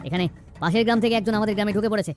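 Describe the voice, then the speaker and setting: A man's voice: high voice, rapid-fire, faint music behind, natural voice. A man voicing a haughty, hostile villager in a cartoon, curt and scornful. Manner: curt, scornful, repetitive orders. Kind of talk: cartoon voice acting.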